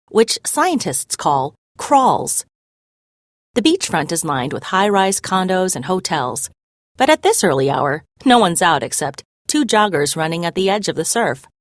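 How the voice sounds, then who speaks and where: A woman reads a children's story aloud in an expressive, measured style. Her voice sits on the low side and moves through lively swings of pitch. The recording is close and dry. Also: dry recording. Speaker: read-aloud narrator.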